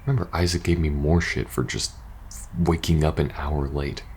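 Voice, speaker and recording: Very deep-voiced weary soldier, acted by a man. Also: fairly even intonation, darkish tone, a little hiss or hum. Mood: puzzled, grumbling. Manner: hesitant, halting, casual swearing, sighing. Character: weary, uneasy male soldier confiding in best friend, post-apocalypse. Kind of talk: ASMR audio roleplay acting.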